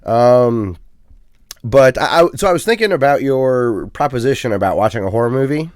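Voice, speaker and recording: A man's voice: mid-range voice, animated, moderate pace, no room sound, clean studio sound. A man co-hosting a casual gaming podcast, rambling and chatty with plenty of ums and uhs. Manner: self-deprecating, chatty. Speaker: podcast co-host.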